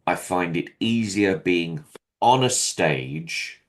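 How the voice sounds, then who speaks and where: A man with an extremely low, deep voice, chatting candidly as a guest in an interview. He talks in a hesitant, self-deprecating way, full of pauses and restarts. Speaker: comedian and veteran guest.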